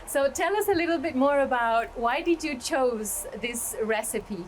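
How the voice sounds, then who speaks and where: A woman from Colombia, hosting an online cooking show and introducing it in a welcoming, slightly halting way. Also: high voice, expressive, slight background noise, rough recording. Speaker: host.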